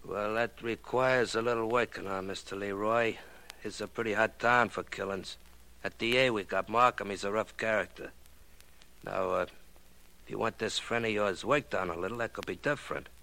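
A low, creaky-voiced New gangster boss in forties radio drama style. He talks wry, roundabout underworld slang with cool menace, like a businessman selling rough work. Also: steady tone, moderate pace, bright, forceful tone, low-quality recording. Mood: coolly menacing. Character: slangy male racketeer boss, coolly menacing hired killer. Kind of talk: 1940s radio crime drama acting.

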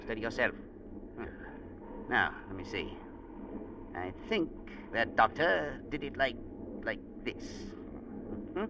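An actor playing a blunt, bossy, unflappable sea captain in a vintage radio drama, with curt, folksy talk. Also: male voice, medium pitch, big pitch swings, slow pace, pauses often. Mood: absorbed, testy. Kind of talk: 1940s-50s radio drama acting. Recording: muffled, noisy vintage radio recording.

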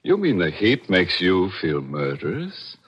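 Deep, slow, ghoulish radio host. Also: male voice, huge pitch swings, slightly rough, highs cut off, full character voice. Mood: macabre, teasing. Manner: macabre, mock-genial, theatrical. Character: ghoulish, tongue-in-cheek male horror show host. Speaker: horror anthology host. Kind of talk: 1940s radio mystery acting. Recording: old radio broadcast, music behind.

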